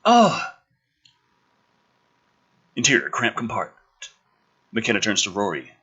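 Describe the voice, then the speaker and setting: A man's voice: fairly low pitch, wildly swooping pitch, takes it slowly, a bit bright, husky, lo-fi sound. A man reading a script dramatically and breaking off into sarcastic, exasperated, sweary commentary as the host.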